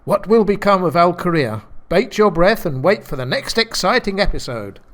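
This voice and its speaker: A man's voice: sits high, animated, moderate pace, a bit bright. A man giving scripted comic narration for a radio play, in a wry, mock-grand manner. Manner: theatrical, wry.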